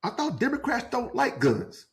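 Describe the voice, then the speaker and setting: A man co-hosting a podcast, teasing his cousin in casual, animated chat. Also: very high-pitched, normal speed. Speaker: podcast co-host.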